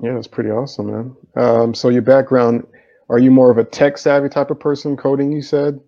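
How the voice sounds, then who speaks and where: A man's voice: medium pitch, little pitch movement, a little fast, vocal fry, dark tone, highs cut off. A man hosting a live interview stream over a video call, casual and upbeat, with the odd um and man.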